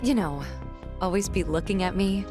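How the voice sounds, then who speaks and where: A woman voicing a gentle, candid young woman in a video game, confiding and conversational, with music underneath. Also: medium pitch, moderate pace, natural voice. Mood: fond, teasing. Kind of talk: video game cutscene acting. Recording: music behind the voice.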